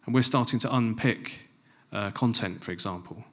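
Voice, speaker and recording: A middle-aged man giving a conference presentation on a large stage into a handheld mic, stressing key phrases and dropping to quieter asides. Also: fairly low pitch, moderate pace. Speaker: conference speaker. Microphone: handheld microphone.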